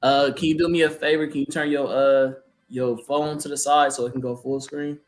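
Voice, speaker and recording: A man's voice: medium pitch, level tone, normal speed. A man hosting an online debate in a casual, slangy, playful way on a group video call. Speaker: host of an online debate tournament. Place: multi-person video call. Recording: video call with clear background noise.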